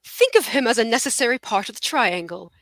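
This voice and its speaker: A woman playing a suave, grandiose gentleman suitor with a pompous, theatrical delivery in a stage comedy read. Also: fairly high pitch, animated, a little fast, no room sound. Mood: grandly seductive.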